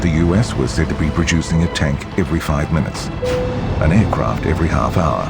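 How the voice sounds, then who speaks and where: Really low gravelly guy narrating a history doc, loud music and engine rumble behind him. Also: brisk. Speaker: documentary narrator. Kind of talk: scripted historical documentary narration. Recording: loud engine and background sound over voice.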